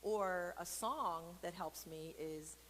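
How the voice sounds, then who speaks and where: A woman's voice: lowish voice, slow pace, fairly bright tone. Chatty, playful woman in an echoing hall. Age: adult. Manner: chatty, playful, rambling asides with comic exaggeration; speaks directly to viewers, asking questions. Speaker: host reading a picture book to children during an online church service. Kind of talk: casual interactive read-aloud with chatty asides. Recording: long reverberant hall echo, background noise.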